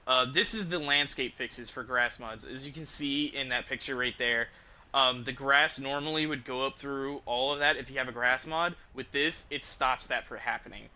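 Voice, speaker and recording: A man's voice: medium pitch, moderate pace, sharp tone. A man giving casual live stream commentary as a game streamer, talking in an informal, enthusiastic, rambling way.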